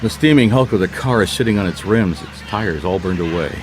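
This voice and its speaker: Male noir detective, low voice, very animated, wry, probing, radio mystery. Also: fairly quick, a little hiss or hum. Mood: narrating. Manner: dry, probing, hesitant coaxing. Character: wry, world-weary male private detective narrating his case. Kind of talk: noir radio drama acting and narration.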